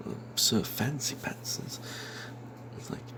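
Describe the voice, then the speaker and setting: A man telling a fantasy tabletop tale as whispered ASMR, in a breathy, halting way.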